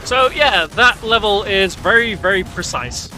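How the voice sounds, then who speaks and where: Male game commentator, fairly high voice, casual walkthrough delivery, clear game music behind him. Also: lively intonation, unhurried, pushed and bright, poor audio. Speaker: speedrunner commentator.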